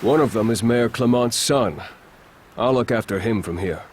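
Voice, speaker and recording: A man's voice: medium pitch, a little hiss or hum, lightly acted. Man playing a composed, formal war chief. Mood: calm, dutiful. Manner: formal, measured wording. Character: courteous, composed, dutiful male war chief. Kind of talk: video game cutscene acting.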